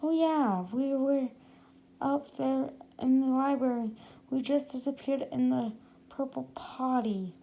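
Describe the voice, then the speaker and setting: A reader as an excitable, high-pitched schoolboy. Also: takes it slowly, gravelly, heavily acted, low-quality recording. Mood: realizing. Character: excitable, puzzled schoolboy prankster in a storybook.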